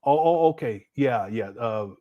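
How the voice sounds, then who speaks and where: A man's voice: medium pitch, lively intonation, measured pace, muffled recording. A man giving casual reaction commentary on a clip, exasperated and laughing, with slangy asides.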